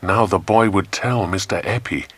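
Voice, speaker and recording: A man with an English accent narrating a children's adventure story in a measured, dramatic storytelling manner. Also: on the low side, fairly bright tone, husky, no room sound. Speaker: audiobook narrator.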